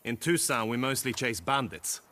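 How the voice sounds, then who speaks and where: An actor playing an earnest, gallant young knight in a video game, with formal, ornate and impassioned speech. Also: male voice, medium pitch, moderate pace, bright, forceful tone, natural voice. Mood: earnest, solemn.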